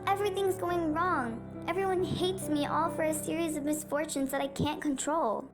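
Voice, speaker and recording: A woman's voice: high voice, steady tone, normal speed, over music, natural voice. A woman playing a beleaguered, anxious male president in an amateur history puppet show. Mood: dejected, self-pitying. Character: beleaguered male president Martin Van Buren, anxious and defensive.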